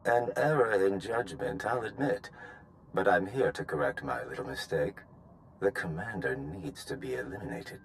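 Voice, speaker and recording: Calculating rebel schemer, extremely low voice, measured, unrepentant, game drama, faint noise. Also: male voice, expressive. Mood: defensive, determined. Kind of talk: dramatic video game cutscene acting.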